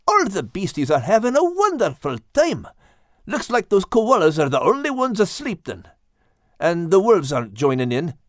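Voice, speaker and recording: A man's voice: high voice, huge pitch swings, moderate pace, no echo, studio quality, voice pushed up. A man voicing a jolly, big-bellied, affectionate male animal with a Scottish accent and a hearty, folksy manner.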